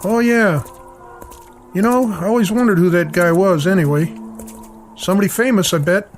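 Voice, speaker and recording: A man's voice: high-pitched, moderate pace, soft background music, close to an everyday voice. A man acting in a radio drama as a friendly, plain-spoken school groundskeeper with a casual, folksy manner. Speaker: actor.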